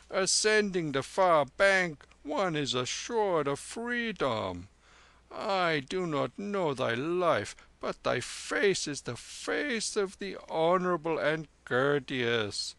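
A man voicing an elderly, gentle lama who speaks in slow, solemn, archaic phrases in a storybook reading. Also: on the high side, vocal fry, no echo, voice pushed up, exaggerated voice.

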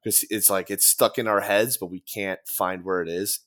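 Man with a very deep voice as a loose, rambling podcast guest who swears casually. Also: fairly even intonation, normal speed, fairly bright tone. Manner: rambling, many fillers and restarts, casual swearing. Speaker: podcast guest, former band guitarist. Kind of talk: casual conversation.

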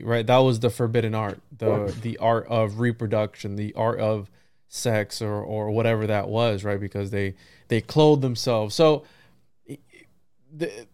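A male podcast host with a smooth, clear voice, recorded close and dry. He talks casually in a conversational interview, rambling through his ideas and circling back to reword them. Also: mid-range voice, a little mellow, dry recording.

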